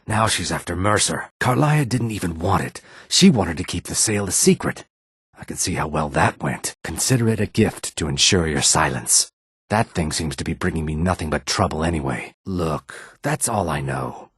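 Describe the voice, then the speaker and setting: A man voicing a shady, self-serving lizard-man merchant in a video game, guarded and smooth-talking, with a raspy voice. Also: deep voice, lively intonation, normal speed, a bit bright, no room sound, clean studio sound, full character voice. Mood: guarded, explaining. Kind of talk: scripted video game character dialogue.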